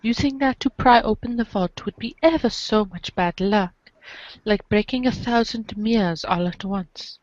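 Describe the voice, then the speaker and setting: A woman's voice: mid-range voice, normal speed, dry recording, dull audio. A woman reading scripted comic narration aloud for a podcast. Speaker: podcast reader of a webcomic.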